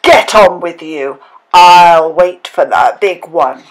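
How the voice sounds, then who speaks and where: A big, ugly troll from a children's tale, acted out by a woman storyteller. He is greedy and bullying. He growls and roars his words very slowly and heavily. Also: lowish voice, poor audio. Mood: gruff, impatient. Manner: roaring, growling, slow and menacing.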